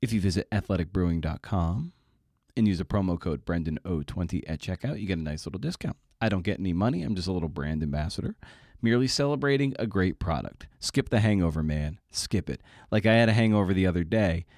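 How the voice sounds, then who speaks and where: A man's voice: sits low, expressive, quick pace, a little mellow, no room sound, clean studio sound. A man hosting his podcast, chatting casually and wryly through plugs and the episode intro with self-deprecating asides. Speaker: podcast host.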